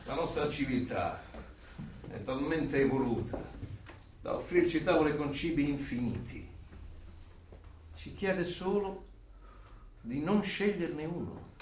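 An actor playing a coldly doctrinaire interrogator and torturer in a theatrical radio play, lecturing in a declamatory, menacing way. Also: male voice, a little high, animated, slow, pauses often. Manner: declamatory, lecturing, menacing, with sudden loud bursts. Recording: roomy, distant miking with background noise.

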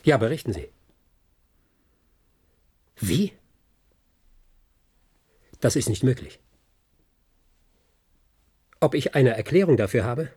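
An actor playing a brusque, worried police inspector in a German radio play, with clipped, questioning dramatic delivery. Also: male voice, medium pitch, expressive, takes it slowly, long pauses, dark tone, husky, dry recording, studio quality. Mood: alarmed, urgent.

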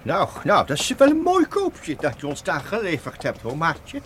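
An actor playing an exasperated older professor in a comic audio drama, muttering indignantly and repeating himself. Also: male voice, a little high, wildly swooping pitch, normal speed, slight background noise. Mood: grumbling. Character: grumbling, exasperated, easily baffled older male professor.

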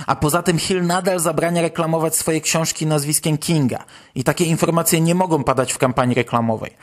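A man with a slightly high voice presents news as a podcast host. He adds his own commentary as he goes.

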